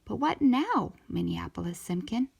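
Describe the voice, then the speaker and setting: A woman voicing an eager, anxious young girl in a children's storybook, excitable and earnest. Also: medium pitch, moderate pace, vocal fry, husky, heavily acted. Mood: anxious, hurried.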